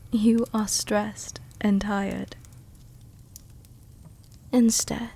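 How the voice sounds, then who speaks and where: A woman voicing a tender, seductive, protective vampire countess in a soft-spoken ASMR roleplay, breathy and often whispering, soothing and gently commanding. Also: on the high side, heavily acted.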